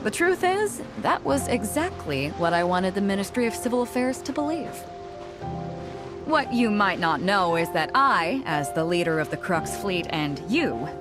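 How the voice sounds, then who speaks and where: A self-assured pirate captain from a video game, speaks with wry confidence at a middle pitch. Her delivery is lively and teasing, like someone who enjoys explaining her schemes. Light music plays behind her. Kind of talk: voiced video game dialogue.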